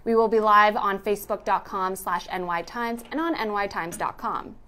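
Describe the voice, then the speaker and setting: A woman's voice: mid-range voice, normal speed, bright, forceful tone, a little hiss or hum. A woman reading scripted narration for a news explainer.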